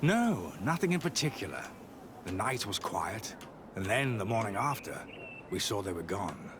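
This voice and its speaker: An actor playing a guarded, zealous missionary monk and scholar in a video game, speaking in a measured, dismissive way. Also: male voice, fairly low pitch, big pitch swings, noisy background. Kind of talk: video game cutscene acting.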